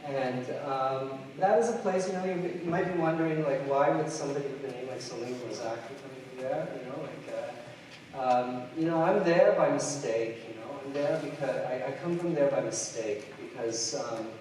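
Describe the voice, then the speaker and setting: A man's voice: mid-range voice, moderate pace, a little mellow. Middle-aged guy giving a keynote, lots of ums, far off mic in a big echoey hall, faint hum. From Trinidad and Tobago. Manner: hesitant, many fillers and restarts. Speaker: keynote speaker. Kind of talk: conference keynote talk. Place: large lecture hall.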